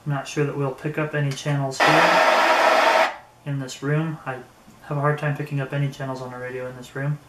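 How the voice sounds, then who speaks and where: A man giving a casual, unscripted product demonstration, thinking aloud and haltingly as he handles things. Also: medium pitch, flat delivery. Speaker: product reviewer. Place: indoor room. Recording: echoey room, faint background noise.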